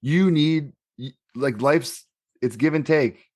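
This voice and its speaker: A man's voice: on the high side, slow, darkish tone, dry recording, clean studio sound. A middle-aged man chatting casually as a podcast co-host, slangy and heavily profane. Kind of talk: casual chat.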